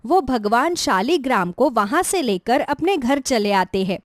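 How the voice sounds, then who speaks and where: A woman's voice: on the high side, big pitch swings, unhurried, no echo, clean studio sound. A woman reading a scripted devotional tale as a storyteller for a cartoon. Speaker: storyteller, narrator.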